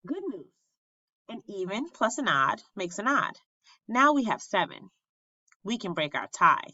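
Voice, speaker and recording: A woman reading a children's picture book aloud like a teacher, with expressive, animated and instructive storytelling. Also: mid-range voice, unhurried. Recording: roomy, slightly muffled sound.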